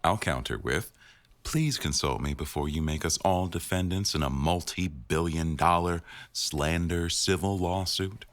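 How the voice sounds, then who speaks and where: A man playing an attentive, dry-witted male news boss in an intimate boyfriend ASMR roleplay, speaking in a measured, gently teasing way. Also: low-pitched, no echo. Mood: dryly teasing. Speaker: audio roleplay performer.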